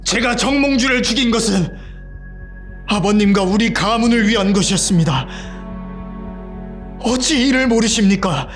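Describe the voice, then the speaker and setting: An actor playing an ambitious young prince, intense and bitter, in an audio drama. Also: male voice, high-pitched, lively intonation, moderate pace, long pauses, faint music behind. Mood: defiant. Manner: intense, pleading then defiant.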